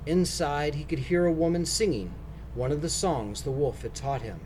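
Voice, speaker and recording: A man reading a children's storybook aloud, narrating with expressive, deliberate phrasing. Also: fairly high pitch, slight background noise. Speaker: storybook reader for schoolchildren.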